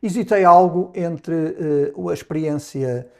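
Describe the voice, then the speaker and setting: A Portuguese diplomat in his sixties with a Lisbon accent speaks in a mellow, slightly high voice. He talks in measured sentences and often pauses or repeats a word before going on. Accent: European Portuguese, Lisbon. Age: 60s. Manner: measured, with frequent hesitations and restarts. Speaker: ambassador, interview guest. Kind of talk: reflective interview answers.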